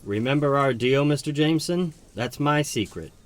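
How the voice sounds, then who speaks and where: A man's voice: mid-range voice, expressive, moderate pace, close to an everyday voice. A man playing a cocky, earnest teenage superhero in a comic book dramatic reading, self-assured, in a roomy recording over music. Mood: smug. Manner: self-assured, narrates his own thoughts. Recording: roomy echo, background music.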